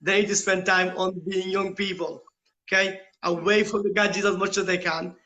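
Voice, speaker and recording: A man's voice: high-pitched, flat delivery, moderate pace, bright, forceful tone. A middle-aged British man giving earnest interview answers as a school principal, often posing questions and checking with "right?". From United Kingdom. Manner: rhetorical questions, tags points with "right?". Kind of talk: earnest, explanatory interview talk.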